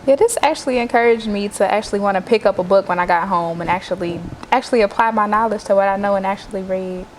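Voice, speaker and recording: A woman's voice: mid-range voice, quick pace, slight background noise, poor audio. An American teenage girl giving earnest interview answers as a youth volunteer. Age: teens.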